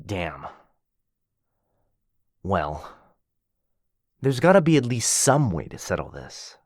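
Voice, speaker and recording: A man's voice: low-pitched, very animated, takes it slowly, darkish tone, dry recording, studio quality, exaggerated voice. A man voicing a cocky, jealous werewolf who mocks his rival and teases with a lot of bounce. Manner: cocky, mocking, bouncy teasing. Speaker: voice actor in boyfriend roleplay. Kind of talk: ASMR roleplay acting.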